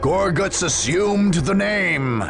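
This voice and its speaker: An actor playing a grave chronicler of war, giving portentous scripted narration for a video game. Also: male voice, high-pitched, animated, creaky, heavily acted. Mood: grave, ominous. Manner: portentous, measured storytelling. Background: music.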